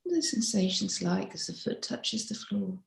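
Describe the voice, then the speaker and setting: A woman leads a guided meditation as a mindfulness teacher, giving soft, unhurried instructions. Her voice sits at a middle pitch with heavy vocal fry. The recording sounds noticeably echoey and roomy. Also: lively intonation. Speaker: mindfulness teacher leading a body scan.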